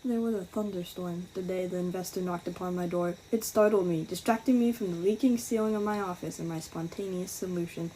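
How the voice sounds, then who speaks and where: A woman's voice: lowish voice, fairly even intonation, fairly quick, hardly any pauses, over music, natural voice, low-quality recording. A woman playing a wry, understated, world-weary private detective who narrates the case in a 1950s-style radio drama.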